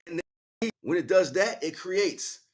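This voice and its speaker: A man's voice: sits high, lively intonation, a little slow. Man giving an emphatic spiritual lecture. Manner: emphatic, repeats key words, checks in with rhetorical questions. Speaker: spiritual teacher and livestream host.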